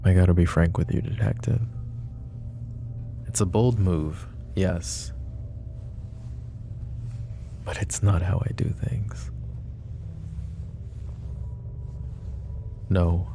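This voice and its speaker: A man playing a protective, possessive mafia boss in an intimate roleplay ASMR, speaking deliberately and broodingly straight to the listener. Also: low voice, fairly even intonation, gravelly, warm tone, soft background music. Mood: firm, resolute.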